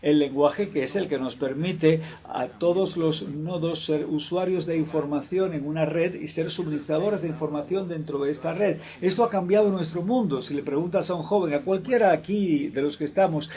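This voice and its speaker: A man gives a formal introduction at a public lecture. His voice sits a little higher than most men's. He speaks in a steady, measured way and stops briefly on a filler now and then. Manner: measured, with occasional hesitant fillers. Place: lecture hall.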